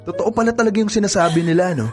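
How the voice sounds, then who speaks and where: An actor playing a cheating young college boyfriend who turns cruel and taunting, in a radio drama. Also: male voice, high-pitched, racing, music in the background, lightly acted. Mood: mocking. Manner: taunting, mocking laughter.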